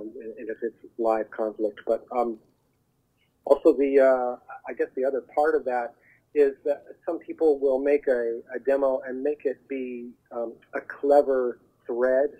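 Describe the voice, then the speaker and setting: Male agent, interview guest on a tinny phone, husky, halting explanatory delivery. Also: medium pitch. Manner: halting, searching for words. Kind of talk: interview answers, explanatory advice. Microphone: telephone. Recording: muffled narrowband phone line.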